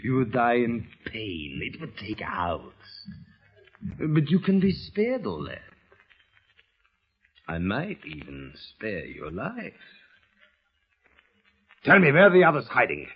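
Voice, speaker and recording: A sadistic Nazi colonel with a husky voice and German accent. He taunts slowly and theatrically, swinging widely in pitch, in an old radio play. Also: huge pitch swings, exaggerated voice. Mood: taunting menace. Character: sadistic, gloating, fat Nazi colonel, quick to rage. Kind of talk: 1940s radio acting. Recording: muffled vintage radio recording.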